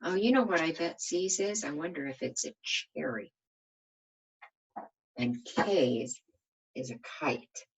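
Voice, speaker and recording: A woman's voice: low-pitched, lively intonation, measured pace, long pauses, slightly rough, poor audio. A woman reading a children's storybook aloud like a kindergarten teacher, expressively and with questions for her listeners.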